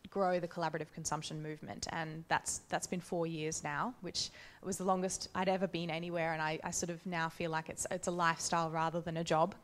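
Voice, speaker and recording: A woman speaking on a conference panel, reflecting thoughtfully on her career with measured phrasing and frequent restarts. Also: sits low, level tone, slight background noise. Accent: Australian. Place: conference hall.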